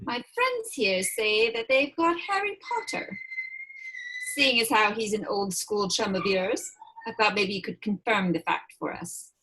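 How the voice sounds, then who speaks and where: A woman playing a menacing, imperious witch villain in a script read, taunting with mock-sweet endearments and drawn-out words. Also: medium pitch, lively intonation, background noise, close to an everyday voice. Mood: imperious, probing. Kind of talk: table read of a film script.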